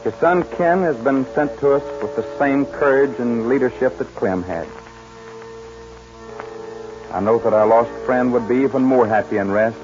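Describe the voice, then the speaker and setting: A solemn frontier eulogist played by a male actor in a 1940s western radio play. He speaks in earnest, measured oratory at a medium pitch. The old recording sounds muffled. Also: close to an everyday voice.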